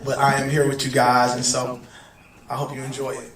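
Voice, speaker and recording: A hoarse man from Detroit, a singer, talking loosely and directly to a gathered audience in slangy, conversational speech. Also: mid-range voice, completely flat delivery, a little fast, a bit bright. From Detroit, USA. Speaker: singer and guest speaker. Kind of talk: casual heartfelt talk to an audience. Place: gathering in Malibu. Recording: echoey room, distant mic, music behind.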